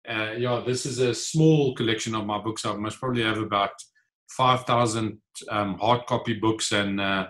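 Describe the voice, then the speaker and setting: A man's voice: mid-range voice, pushed and bright. A man in his forties from the Free State with a South African accent, Afrikaans his first language, answering interview questions earnestly from a home office. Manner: earnest, gestures while talking, frequent fillers. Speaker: guest, author and entrepreneur. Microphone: video call.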